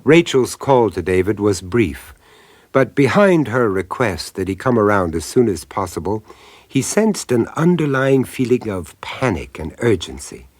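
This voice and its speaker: A male storyteller narrating radio horror, recorded dry. His low voice rises and falls expressively, with a grave, deliberate and suspenseful delivery. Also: very animated, dry recording. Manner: dramatic, deliberate.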